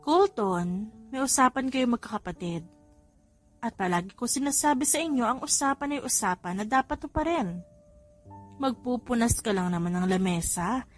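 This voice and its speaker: A woman voicing a fond, mildly exasperated young mother who gently scolds in audiobook dialogue. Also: fairly high pitch, animated, moderate pace, faint music behind. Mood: firm, patient.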